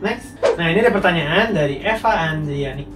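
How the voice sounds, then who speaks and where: A young man with a blocked, nasal voice from a cold walks through viewer questions on tiles and bricks in a relaxed, slangy way. His voice sits a touch high, recorded from a distance in an echoing room with faint music underneath. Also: normal speed. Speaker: contractor answering viewer questions. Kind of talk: casual Q&A monologue.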